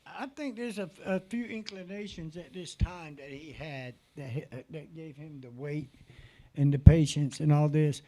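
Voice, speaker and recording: A man in a church Bible discussion, talking in an animated way and acting out quoted speech as he tells the story. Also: fairly high pitch, moderate pace.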